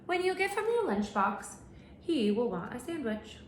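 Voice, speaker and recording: A woman teacher reads a picture book aloud to young children and stops to ask them questions. Her voice rises and falls with a lot of lively movement. The room is echoey, with a faint steady hum behind her. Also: high voice, normal speed. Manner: animated storytelling with questions to children.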